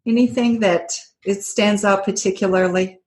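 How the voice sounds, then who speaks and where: A woman's voice: medium pitch, steady tone, normal speed, darkish tone. A woman hosting a podcast, asking short, encouraging interview questions. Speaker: podcast host.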